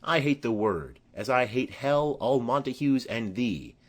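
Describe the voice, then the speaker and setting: A man's voice: mid-range voice, lively intonation, a little slow. A man playing a hot-tempered young swordsman, scornful and challenging, in a Shakespearean audiobook. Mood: menacing.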